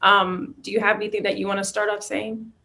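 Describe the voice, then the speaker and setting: A woman's voice: mid-range voice, flat delivery, fairly quick, creaky, bright, forceful tone. A woman moderating a reunion question-and-answer session, addressing the panel. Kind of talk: hosting a reunion Q&A.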